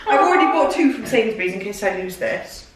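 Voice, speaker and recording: A woman's voice: mid-range voice, sing-song, fairly quick. A young woman with a Welsh accent chatting bubbly and giggly in a casual vlog. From Wales. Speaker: vlogger. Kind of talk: casual chat. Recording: echoey room, distant mic, faint hiss.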